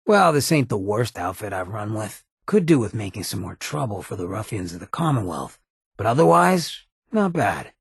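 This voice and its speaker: An actor playing a roguish, streetwise ghoul in a video game, with a very raspy, gravelly voice and a cocky, laid-back, slangy way of talking. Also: male voice, on the low side, very animated, moderate pace, no echo, clean studio sound, heavily acted. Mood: easygoing. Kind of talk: video game companion dialogue.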